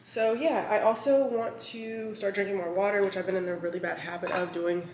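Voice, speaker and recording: A woman's voice: mid-range voice, steady tone, fairly quick. A woman in her thirties chatting casually to camera as a lifestyle vlogger, rambling with lots of fillers, her throat a bit scratchy. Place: large bright home kitchen. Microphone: camera on a counter, mid-shot. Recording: echoey kitchen with faint room noise.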